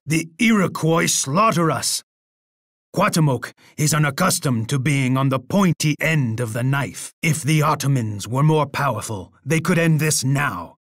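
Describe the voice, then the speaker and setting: An actor playing a proud, bloodthirsty and unhinged Aztec emperor in a video game, with a husky voice and a pompous manner. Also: male voice, on the high side, fairly bright tone, no room sound, clean studio sound, exaggerated voice. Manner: pompous, speaks of himself in third person. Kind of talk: video game character voice lines.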